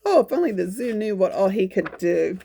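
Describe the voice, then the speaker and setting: A woman's voice: mid-range voice, sing-song, brisk, gravelly, dark tone, husky. A woman reading a picture book aloud to young children, adding folksy exclamations and coaxing little questions as she goes. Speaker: children's storytime reader.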